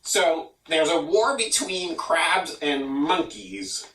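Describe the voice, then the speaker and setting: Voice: mid-range voice, slow, a bit bright, recorded from a distance. A person casually commenting on a story in a slangy, laid-back way. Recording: echoey room, away from the mic.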